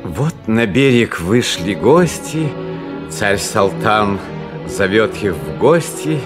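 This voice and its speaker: A man's voice: on the low side, very animated, slow pace, loud music behind the voice. A man narrating a fairy tale in verse, in a theatrical, declamatory manner.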